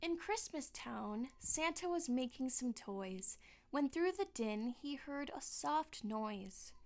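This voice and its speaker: Female narrator, higher pitch, rhyming storybook verse, theatrical delivery, expressive storytelling. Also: slow pace.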